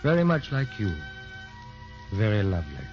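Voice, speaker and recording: A man's voice: fairly low pitch, huge pitch swings, normal speed. An actor playing a dignified, sentimental widowed father, formal and earnest, in old-time radio drama style. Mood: nostalgic, tender. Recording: dull old radio recording, music behind.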